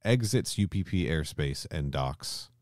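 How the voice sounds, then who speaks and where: Really deep voiced dude podcasting, casual n sweary, dead dry audio. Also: male voice, animated, measured pace, a little mellow, no echo. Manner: informal, sweary. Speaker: podcast host.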